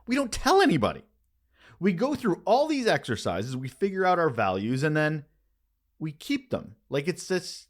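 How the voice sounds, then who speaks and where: A man hosting a podcast, delivering a conversational scripted monologue with emphatic, expressive phrasing and dramatic pauses. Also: medium pitch. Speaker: podcast host.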